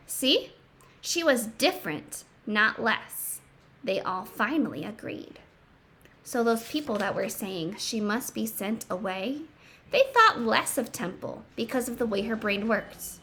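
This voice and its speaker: Woman, higher-pitched and unhurried, as a teacher reading a storybook to children, emphatic, with explanatory asides and questions. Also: pushed and bright, slight background noise. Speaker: teacher reading a picture book aloud to children. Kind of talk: expressive children's read-aloud with explanatory asides.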